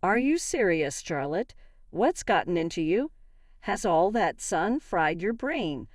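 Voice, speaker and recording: Female narrator as hurt, argumentative wife in audio drama story: emphatic, animated, slightly low voice. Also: unhurried, dry recording, clean studio sound. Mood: indignant. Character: wronged, indignant young wife confronting her in-laws. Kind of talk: acted dialogue in a narrated story.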